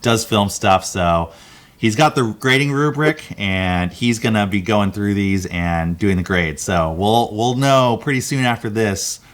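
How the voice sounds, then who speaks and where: A man with a fairly low voice hosts a casual vlog. He explains things in an informal, conversational way, like a creator talking straight to his viewers. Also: a little slow. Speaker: video creator and host.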